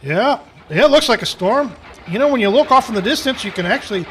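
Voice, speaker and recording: Male actor as amiable frontier fellow, high lively voice, halting and repetitive, radio western comedy. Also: noisy background. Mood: wide-eyed. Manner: stammering, repetitive, drawn-out wonder. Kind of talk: old-time radio western parody acting.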